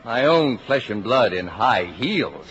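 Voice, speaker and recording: A man's voice: fairly low pitch, very animated, slow. An actor playing a fussy, exasperated middle-aged father in an old-time radio drama, with a pompous, dryly sarcastic manner. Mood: dry, stern. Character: fussy, exasperated father, a stern efficiency-minded patriarch. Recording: muffled, noisy old radio broadcast.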